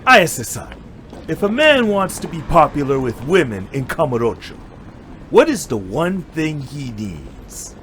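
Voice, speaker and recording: A man's voice: a little high, big pitch swings, a little slow, fairly bright tone, a little hiss or hum. Man playing a smug club tout with swooping delivery. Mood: pitching, intrigued. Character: sly, money-minded hostess club tout, former martial arts pupil. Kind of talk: amateur anime-style audio drama acting.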